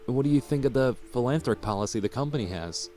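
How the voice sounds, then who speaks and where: A man's voice: medium pitch, lively intonation, quick pace, darkish tone, soft background music, close to an everyday voice. A man playing a formal, polite businessman party guest in a visual novel.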